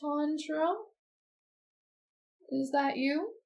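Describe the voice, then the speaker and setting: A woman voicing a sweet, sleepy, feverish patient in an anime roleplay, soft, drowsy and childlike. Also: high voice, extremely slow, gravelly, noisy background, exaggerated voice. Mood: drowsy, needy. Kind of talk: anime fan roleplay audio drama.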